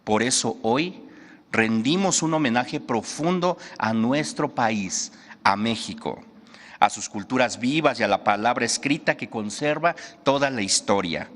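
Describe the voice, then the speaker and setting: A man's voice: mid-range voice, animated, fairly bright tone. A man speaking formally and solemnly at a press conference, introducing a cultural segment. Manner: solemn, measured delivery. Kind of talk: formal ceremonial speech. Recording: long hall reverb.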